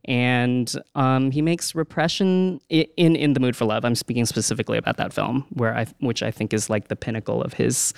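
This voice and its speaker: Man, very smooth resonant voice, dry close sound, animated chatty. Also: medium pitch, dry recording. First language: Cantonese. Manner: animated, with laughing asides. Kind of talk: casual chat.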